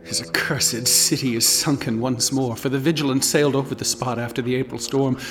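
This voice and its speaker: An actor playing a doom-haunted scholar, delivering a solemn, portentous radio drama monologue. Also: male voice, mid-range voice, steady tone, fairly quick, fairly bright tone, soft background music, close to an everyday voice. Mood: brooding dread. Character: doom-haunted scholar brooding on cosmic horror.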